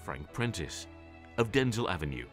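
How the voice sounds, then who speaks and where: A man reading scripted documentary narration in a measured announcing manner. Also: low voice, big pitch swings, soft background music.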